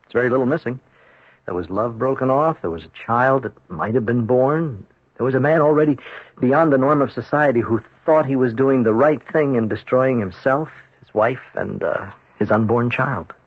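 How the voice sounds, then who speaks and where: An actor playing a grave, thoughtful psychiatrist in a radio drama, speaking in a measured, reflective way. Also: male voice, mid-range voice, breathy edge, no room sound, close to an everyday voice. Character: grave, thoughtful psychiatrist, patient and world-weary. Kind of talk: 1970s radio drama acting. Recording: dull old radio recording, dry.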